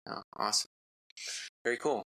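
A man interviewing a guest in a casual chat, asking short questions and reacting eagerly. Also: bright, forceful tone. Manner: eager, quick reactions, encouraging.

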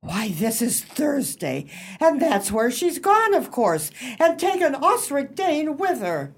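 Woman playing fussy, animated society club lady. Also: high voice, slow pace, slight background noise, full character voice. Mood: suspicious. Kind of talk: radio play acting.

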